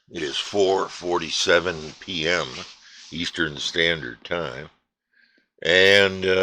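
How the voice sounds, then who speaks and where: A man casually chatting about his radio hobby at his home station, relaxed and rambling with many fillers. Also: low voice, slight background noise. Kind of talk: casual chat to camera.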